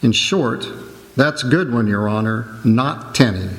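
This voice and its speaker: A man playing a self-assured expert witness, formal, measured and precise, in a courtroom radio drama. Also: medium pitch. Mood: authoritative. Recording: echoey hall stage recording.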